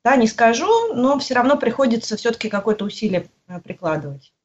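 A woman answering interview questions, pausing often and searching for her words. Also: a little high, sing-song, a little mellow, slight background noise. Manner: hesitant, searching for words with frequent pauses. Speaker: guest. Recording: muffled lo-fi audio.

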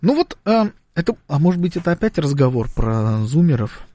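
A man's voice: on the high side, very animated, moderate pace, warm tone, no room sound. A man hosting a radio talk show, reading listener messages and commenting in a chatty, ironic way. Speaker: radio host.

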